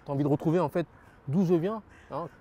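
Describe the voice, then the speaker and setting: Earnest man with a dark voice. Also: a little high, quick pace, muffled recording. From France. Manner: earnest, repeats phrases, frequent fillers. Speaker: rap label founder. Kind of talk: interview answers.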